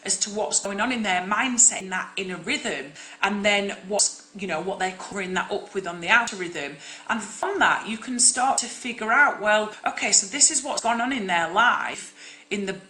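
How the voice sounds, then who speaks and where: Acting coach, young woman, bright forceful voice, loud projecting delivery, echoey room. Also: mid-range voice. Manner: loud, projecting, direct and encouraging. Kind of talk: live-streamed teaching talk.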